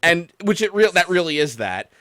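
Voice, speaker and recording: A man with a fairly high voice hosts a casual livestream. His pitch jumps around in an animated way as he rambles and swears in exasperation. The sound is dry, with hardly any room to it. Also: moderate pace, no echo. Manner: exasperated, animated, rambling, mimicking others in mock quotes. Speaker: podcast and livestream host taking callers. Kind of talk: casual profane ranting about a video game.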